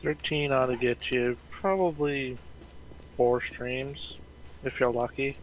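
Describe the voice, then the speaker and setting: A man's voice: mid-range voice, steady tone, slow, poor audio. Casual male gamer, faint noise. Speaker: gaming co-player. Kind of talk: casual chat.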